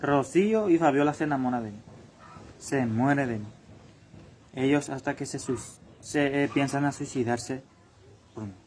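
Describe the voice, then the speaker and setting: A man's voice: medium pitch, moderate pace, pauses often, darkish tone, slight background noise. A young man talking straight to his viewers in a boastful, repetitive monologue about himself. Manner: bragging, repetitive, addresses viewers directly.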